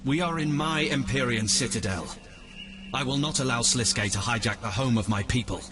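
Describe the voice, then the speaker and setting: An actor playing a level-headed, principled god in a video game cutscene, speaking in a measured, reproving way. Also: male voice, mid-range voice, background noise, close to an everyday voice. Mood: reproachful.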